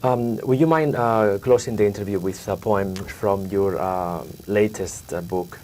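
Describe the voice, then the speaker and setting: A man asking polite, low-key interview questions, hesitating mid-sentence, recorded with some noise. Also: measured pace, darkish tone. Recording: noisy, off-mic room sound.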